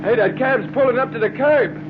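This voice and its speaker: An actor playing a nervous, deferential city cab driver in an old radio drama, talking in folksy working-class slang. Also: male voice, extremely high pitch, a little fast. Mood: eager, focused. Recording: noisy narrow-band old radio, car sound.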